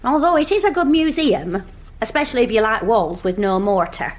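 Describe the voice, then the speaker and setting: A no-nonsense Northern English woman, acted by an actress in a radio comedy. She is bossy and blunt, with very lively swings of pitch as she grumbles and scolds. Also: a little fast, close to an everyday voice. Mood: grumbling. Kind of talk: radio sitcom acting.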